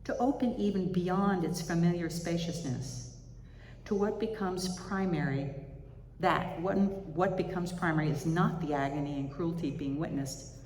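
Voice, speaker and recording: A woman giving a reflection at a service, reading spiritual writing aloud in a measured, reflective way. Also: lowish voice, darkish tone. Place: large indoor chapel or hall. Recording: echoey hall with reverb, faint background noise.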